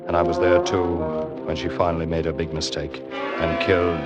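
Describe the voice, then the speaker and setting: Seasoned seafaring storyteller played by a male actor, low and grim, vintage radio drama with loud music underneath. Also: level tone, normal speed, natural voice. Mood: grim, brooding. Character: seasoned male sailor grimly recalling a murderous ship. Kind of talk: classic radio drama narration. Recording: old radio recording, loud music behind.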